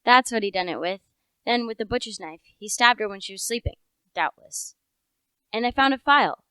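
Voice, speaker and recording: A woman's voice: a little high, lively intonation, moderate pace, a bit bright, smooth, no echo. A teenage female actor playing an opinionated, suspicious rustic village constable in a radio play, folksy and insistent. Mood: excited, certain.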